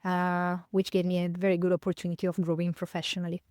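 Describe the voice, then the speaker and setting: Italian woman in her fifties, smooth voice. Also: lowish voice, completely flat delivery, fast, darkish tone, no room sound, studio quality. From northern Italy, near Milan. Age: 50s. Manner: thoughtful, many fillers and self-corrections. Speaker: podcast guest. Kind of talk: reflective conversational interview.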